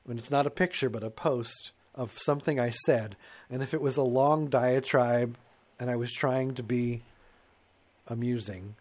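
A man's voice: medium pitch, fairly even intonation, moderate pace, darkish tone. A middle-aged man co-hosting a talk show in casual comic chat, rambling and self-mocking, slipping into put-on voices to quote himself.

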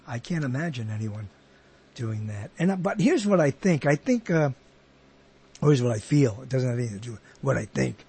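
A man hosting a conversational interview, speaking in a halting, rambling way and often repeating himself. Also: medium pitch, darkish tone, husky. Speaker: interviewer, host.

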